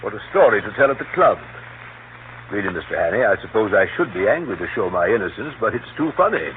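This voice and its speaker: A man's voice: on the low side, big pitch swings, quick pace. Genial old clubman, tinny vintage radio. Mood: amused relief. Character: genial older club gentleman, respectable, affably indignant uncle. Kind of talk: 1930s radio acting. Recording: noisy, tinny 1930s radio broadcast.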